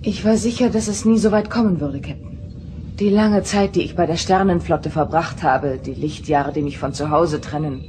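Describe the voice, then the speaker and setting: A woman's voice: on the low side, moderate pace, mellow, slight background noise, lightly acted. A woman playing an earnest, troubled starship counselor who speaks confidingly in a German radio play. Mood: resigned.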